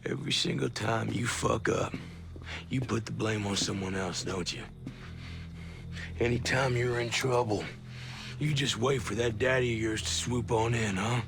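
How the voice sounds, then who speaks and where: An actor playing a ruthless, contemptuous special forces commander who curses and menaces, in a game cutscene. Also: male voice, medium pitch, moderate pace, gravelly, music in the background, close to an everyday voice. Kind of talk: video game cutscene acting. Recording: game soundtrack behind.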